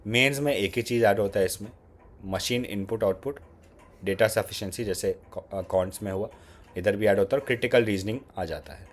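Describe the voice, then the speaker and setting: A man's voice: on the low side, brisk, slight background noise. A man with a sore throat and an Indian English accent, giving casual, conversational exam advice and switching between Hindi and English. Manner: conversational, mixes Hindi with English phrases, repeats "ठीक है". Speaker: exam prep YouTuber. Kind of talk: casual exam strategy talk.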